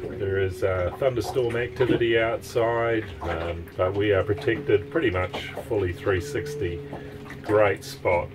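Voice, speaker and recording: Low-voiced man narrating his sailing vlog. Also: a little slow. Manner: upbeat, chatty, with fillers. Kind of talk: casual vlog narration and chat. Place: on board a sailing catamaran. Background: music under the narration.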